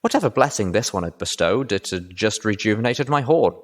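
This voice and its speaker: A man reading a story aloud as an expressive audiobook narrator. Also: fairly low pitch, fairly quick. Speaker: audiobook reader.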